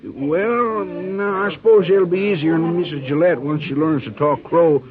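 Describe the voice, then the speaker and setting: An actor playing a folksy, gossiping old-timer. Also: male voice, on the high side, normal speed, a crowd in the background, lightly acted. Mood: gossipy. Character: talkative, tactless old-timer townsman who likes his liquor. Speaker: actor in radio drama. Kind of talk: 1950s radio drama acting. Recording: tinny phone-like 1950s radio recording.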